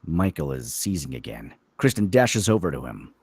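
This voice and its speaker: A man's voice: rumbling low, big pitch swings, moderate pace, a little mellow, husky, no room sound, studio quality. A man reading screenplay stage directions as dramatic scripted narration.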